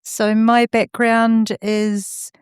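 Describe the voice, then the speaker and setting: New Zealand woman, smooth and clear. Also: on the high side, extremely slow, no room sound, clean studio sound. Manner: steady, explanatory, with frequent uh fillers. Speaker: research fellow guest. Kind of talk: podcast interview.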